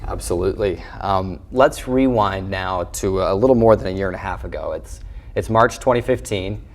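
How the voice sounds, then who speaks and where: A man hosts a sports interview in a fairly low voice. He talks conversationally and takes a winding route to each question. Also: normal speed. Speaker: show host and interviewer.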